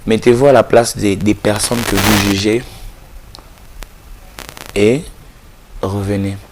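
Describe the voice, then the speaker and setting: A man's voice: on the low side, fairly even intonation, slow, background noise. A man, speaking as an interview guest with a cold, ending in an earnest, preachy appeal. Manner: earnest, exhorting, repeats phrases. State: has a cold. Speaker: gospel artist, interview guest. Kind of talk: casual interview talk, closing with a preachy appeal.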